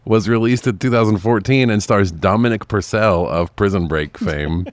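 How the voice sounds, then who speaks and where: A man's voice: fairly low pitch, normal speed, no room sound. A man co-hosting a podcast, talking casually and conversationally about films. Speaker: podcast co-host. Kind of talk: casual film review chat.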